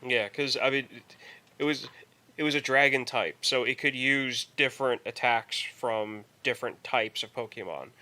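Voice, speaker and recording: Bright-voiced man in casual podcast chat. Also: mid-range voice. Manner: rambling, halting, repeats words. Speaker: podcast co-host.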